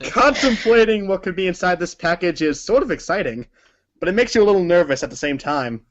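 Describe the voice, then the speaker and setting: High-voiced man reading a story theatrically. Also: expressive, brisk, lo-fi sound. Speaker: narrator of a group reading. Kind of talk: theatrical read-aloud storytelling.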